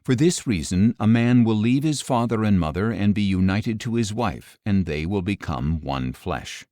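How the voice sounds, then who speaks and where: A man narrates scripture in a low, warm voice. His solemn, measured reading moves through a lively range of pitch. The recording is very dry and close, as for an audiobook. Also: dry recording.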